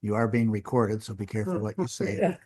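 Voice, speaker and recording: An older man hosting a casual book discussion, halting and lightly jocular. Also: on the low side, expressive, darkish tone. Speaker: book club host.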